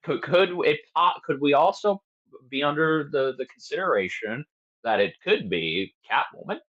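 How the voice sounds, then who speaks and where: A man's voice: on the high side, lively intonation, moderate pace. A man chatting casually as he co-hosts a watch-along commentary. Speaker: show host. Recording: slightly dull, lo-fi microphone.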